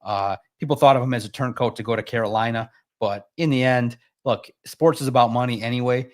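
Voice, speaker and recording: A man's voice: mid-range voice, a little fast. A man arguing his case as a guest on a sports talk show, needling and emphatic. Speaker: guest pundit. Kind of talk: casual sports debate.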